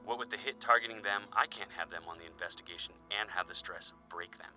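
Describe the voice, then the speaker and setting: A man acting as a police chief in an audio drama, concerned but firm, speaking in a measured, earnest way. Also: deep voice, bright, forceful tone, faint music behind, rough recording. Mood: firm, urging.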